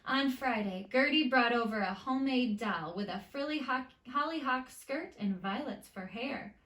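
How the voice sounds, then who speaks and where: A woman with a fairly high voice reads aloud to children like a teacher. Her delivery is expressive, with deliberate emphasis on story words. The room gives her voice a noticeable echo. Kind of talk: expressive picture-book read-aloud with asides. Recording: roomy, echoey indoor sound.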